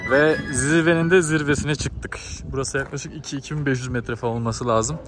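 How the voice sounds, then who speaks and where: A man's voice: medium pitch, fairly even intonation, brisk, loud music behind the voice. A man, chatting casually and thinking aloud in slangy, informal speech as a travel vlogger. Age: 20s. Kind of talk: casual spontaneous vlog chat. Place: outdoors on mountainside, some in cable car. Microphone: handheld camera at arm's length.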